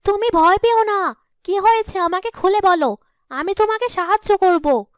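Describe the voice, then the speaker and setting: A woman's voice: extremely high pitch, moderate pace, no echo, studio quality, voice pushed up. A woman voicing a kind but firm elder sister ghost in a cartoon, protective and principled. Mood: reassuring. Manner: reassuring, morally firm.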